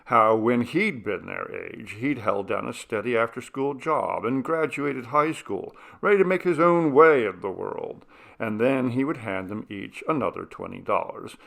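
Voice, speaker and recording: A man narrates a piece of fiction in a gravelly, creaky voice. His pitch sits in an ordinary male middle range, and he tells the story expressively, as an audiobook reader would. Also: expressive, unhurried, a bit bright. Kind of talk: narrated short fiction reading.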